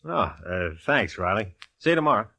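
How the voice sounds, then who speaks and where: An American actor playing a brisk, wry, good-natured police detective captain in a 1940s radio drama. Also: male voice, fairly low pitch, very animated, creaky, sharp tone, exaggerated voice. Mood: businesslike. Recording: vintage radio broadcast.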